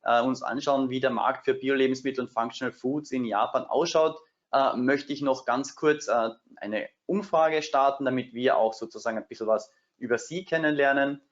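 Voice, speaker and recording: A man's voice: mid-range voice, moderate pace. A man with a mid-range voice moderates an online webinar, stopping often on filler sounds as he feels his way through each sentence. The sound is a little muffled, as if from a computer microphone on a video call. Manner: frequent filler hesitations.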